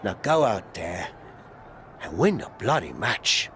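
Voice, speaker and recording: A man's voice: lowish voice, big pitch swings, takes it slowly, fairly bright tone, slight background noise, heavily acted. A man playing a veteran wrestler turned mentor in a game cutscene, rambling and self-deprecating yet encouraging. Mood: earnest, rousing. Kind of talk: video game cutscene acting.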